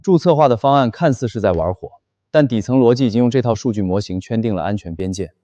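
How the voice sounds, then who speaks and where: A man playing a commanding, unflappable company boss in an animated drama, speaking with precise, businesslike authority. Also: medium pitch, fast, no room sound, lo-fi sound, lightly acted. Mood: confident, persuasive. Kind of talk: animated web drama dialogue.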